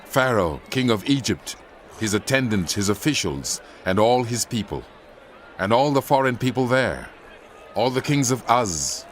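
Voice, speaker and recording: A man playing a solemn prophet who relays divine judgment, declaiming in a grave, measured way in a dramatized scripture narration. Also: medium pitch, very animated, a little hiss or hum. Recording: faint music behind the voice.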